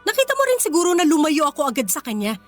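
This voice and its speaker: A woman's voice: high voice, sing-song, very fast, faint music behind. A Filipino woman playing a proud, wounded young working-class heroine in a radio drama, speaking with earnest emotion. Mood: indignant, defiant.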